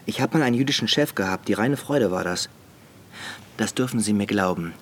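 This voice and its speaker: Low-voiced blunt border smuggler. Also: male voice, lively intonation, brisk. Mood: gruff, blunt. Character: blunt, grudging working-class border smuggler. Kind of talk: radio drama dialogue.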